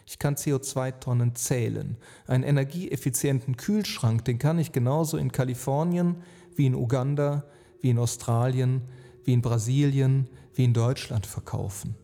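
A man narrating an earnest, reflective spoken essay, phrasing deliberately and emphatically with rhetorical weight. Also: medium pitch, gravelly, dark tone. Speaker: speaker and author on climate and sustainability. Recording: long reverb, music underneath. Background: music.

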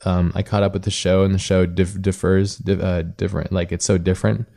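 A man's voice: deep voice, little pitch movement, fairly quick, vocal fry, mellow. A man hosting a casual interview, rambling a little as he searches for words. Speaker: podcast host and interviewer.

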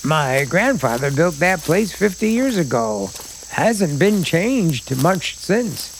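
Slow, creaky, sinister bridegroom. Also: male voice, a little high, very animated, a little hiss or hum, exaggerated voice, low-quality recording. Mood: flatly proud. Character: sinister, coaxing New England bridegroom with secrets. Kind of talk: old-time suspense radio drama acting.

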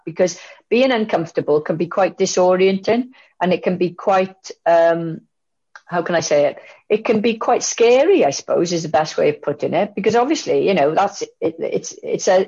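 Low-voiced woman on a video call. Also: a little mellow, husky. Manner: candid, reflective, many fillers and restarts. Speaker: guest speaker. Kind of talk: online conference Q&A answer. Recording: muffled video call audio.

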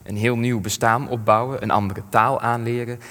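An actor playing an elderly war survivor in a radio play, grieving and ashamed, speaking in measured, weighty phrases. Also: male voice, medium pitch, steady tone, a bit bright, natural voice. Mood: bitter reflection. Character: elderly male war survivor, grief-stricken, ashamed, plain-spoken. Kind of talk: radio play acting, testimony. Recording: long hall-like reverb.

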